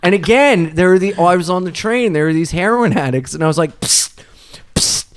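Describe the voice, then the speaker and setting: A man's voice: sits high, animated, a little fast, no room sound, clean studio sound. A man, a comedian guest, telling rambling stories on a podcast and laughing through them. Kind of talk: casual podcast storytelling.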